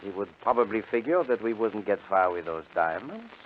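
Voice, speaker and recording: A very tinny, faintly noisy old radio recording of a genteel, cautious criminal. His speech is formal and articulate, wry in manner, swinging widely in pitch as in 1950s radio drama. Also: very animated, fairly quick. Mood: musing, wry. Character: erudite, cautious male crook nicknamed Doc, wryly genteel.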